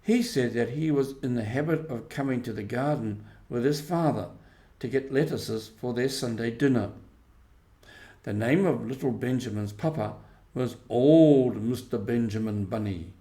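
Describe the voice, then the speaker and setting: A man with a medium-pitched voice reads a children's story. He delivers it as scripted storybook narration. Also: moderate pace, warm tone. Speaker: storybook reader.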